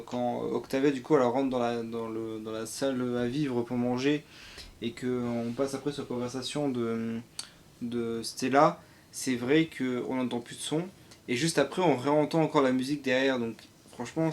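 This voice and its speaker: A man co-hosting a casual video review, talking in a hesitant, rambling way. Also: mid-range voice. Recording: faint background noise.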